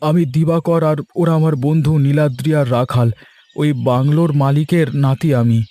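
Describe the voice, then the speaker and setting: A man's voice: on the high side, fairly even intonation, normal speed, dark tone, dry recording, natural voice. A man playing an earnest, uneasy young visitor to a haunted village in a horror cartoon. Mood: earnest, pleading. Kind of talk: scripted Bengali horror cartoon acting.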